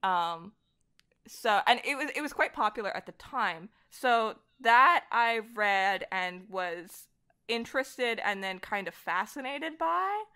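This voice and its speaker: A woman chatting casually as an interview guest, animated, giggly and self-deprecating about herself. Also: on the high side, slow pace, smooth. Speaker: podcast guest, voice actor and director. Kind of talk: casual interview chat about comics and horror.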